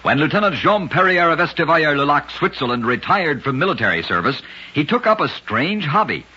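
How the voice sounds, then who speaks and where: A man with a husky voice giving dramatic scripted narration for an old radio show. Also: a little high, sing-song, fairly quick, bright, forceful tone. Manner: dramatic storytelling delivery. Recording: dull lo-fi old radio recording.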